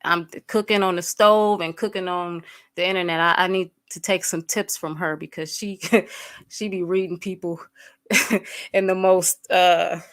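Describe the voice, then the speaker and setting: A woman's voice: lowish voice, steady tone, a little slow, a bit bright, clean tone. Blunt, fired-up woman livestreaming. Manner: blunt, fired-up and profane, with frequent fillers; greets viewers by name and addresses them directly. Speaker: livestream host. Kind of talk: casual livestream talk to chat.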